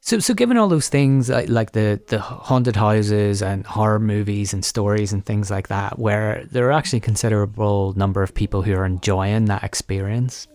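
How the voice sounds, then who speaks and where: A man with a fairly low voice, recorded close and dry. He is a podcast host chatting with a guest. His questions come out tentatively and wander as he builds them. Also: normal speed, few pauses, darkish tone, no echo. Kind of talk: conversational interviewing.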